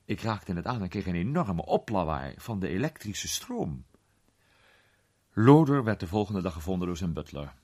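A man playing an urbane gentleman detective who recounts his case with measured, suspenseful pauses in a radio play. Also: low voice, very animated, breathy edge, exaggerated voice. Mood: tense, dramatic. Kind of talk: dramatised storytelling in a radio play.

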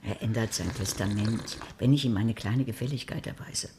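A woman's voice: a bass voice, moderate pace, very noisy. An actress playing a dry, ironic older woman who also narrates the radio drama.

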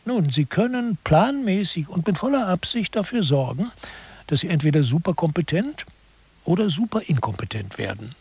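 A man's voice: on the high side, sing-song, normal speed, darkish tone. A man playing an articulate lecturer who explains mock-scholarly theory in a scripted satirical radio play. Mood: explanatory. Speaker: narrator.

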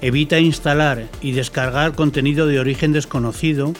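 A man's voice: fairly high pitch, fairly even intonation, brisk, soft background music. A man with an accent from Spain co-hosting and explaining things in a scripted, didactic but conversational way. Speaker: co-host commentator, explainer.

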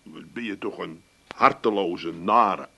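An actor playing a flustered, plaintive grown son with an Amsterdam accent in a radio comedy. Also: male voice, low voice, slow, gravelly, sharp tone, slightly rough, full character voice. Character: hapless, whiny grown son, easily upset.

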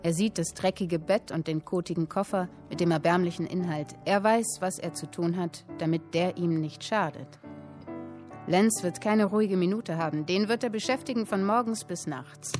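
An actress playing a woman who reads a literary tale aloud in a measured, expressive storytelling manner, in a radio drama. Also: on the low side, faint music behind, natural voice. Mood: measured, intent. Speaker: narrator reading a literary account. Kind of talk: dramatic literary narration in present tense.

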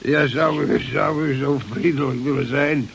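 A man's voice: mid-range voice, fairly even intonation, normal speed, gravelly, lightly acted. An actor playing a big, jovial, drunken sailor in a radio play, rambling, slurring and stammering as he tells his tall tales. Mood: tipsy, courteous. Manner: rambling, stammering, bursting into song. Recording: hissy old radio play recording.

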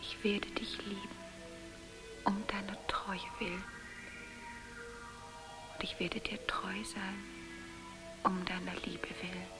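Lady doing a hushed dreamy sea lover, really flat and slow, music behind, radio play. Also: mid-range voice, close to an everyday voice. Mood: tender, spellbound. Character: devoted, spellbound female sea lover. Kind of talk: hushed poetic radio drama acting.

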